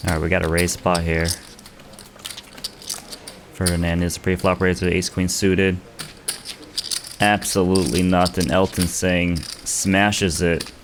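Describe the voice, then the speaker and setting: A deep-voiced man calls a poker cash game. He walks through each hand in a measured, analytical way. Chip clicks and table clatter are clearly audible behind him. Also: level tone, noisy background. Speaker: poker commentator. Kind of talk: play-by-play commentary.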